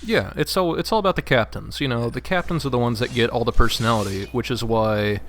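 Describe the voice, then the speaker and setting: A man's voice: mid-range voice, fast, fairly bright tone, a little hiss or hum. A man chatting casually as a co-commentator on a video game. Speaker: guest co-commentator. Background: faint game music.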